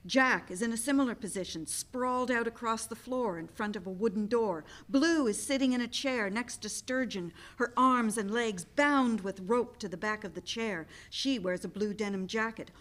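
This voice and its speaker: Woman, slightly high pitch, event host, stage-direction narration. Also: normal speed, few pauses, a bit bright.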